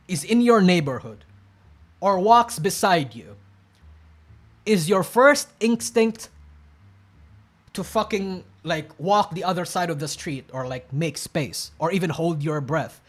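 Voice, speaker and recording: A man reacting to clips on a live stream, rambling and blunt, swearing often, restarting sentences, and breaking into sudden loud bursts. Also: high voice. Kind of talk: casual live-stream commentary.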